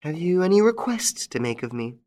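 Shrill, frantic widow bent on revenge, put on by a male narrator, pitched high above his own voice. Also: sing-song, normal speed, a little mellow. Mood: cold resolve. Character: vengeful shrill middle-aged widow, furious.

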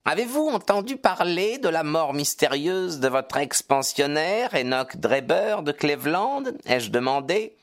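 A performer as a pompous, lively police detective. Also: mid-range voice, measured pace, hardly any pauses, pitched above the performer's own voice. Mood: self-satisfied. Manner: pompous, chatty, hesitating with asides. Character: self-satisfied male police detective, dogged, insinuating.

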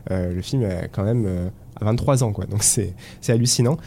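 A man's voice: on the low side, normal speed, vocal fry, slight background noise. A man hosting a film podcast, introducing topics and leading the talk with some hesitation. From France. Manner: lively, hesitant at times. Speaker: podcast host.

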